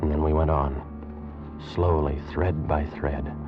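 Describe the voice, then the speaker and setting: A man narrating a tense story in the first person in 1940s radio drama style, slow and suspenseful. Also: very deep voice, fairly even intonation. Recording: dull old radio recording with music.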